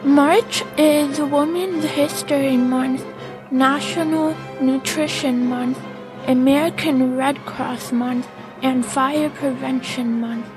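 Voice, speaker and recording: A young woman on a podcast team, speaking in a careful, measured way as she reads or chats. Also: sits high, fairly even intonation, over music, low-quality recording. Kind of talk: reading facts and casual chat.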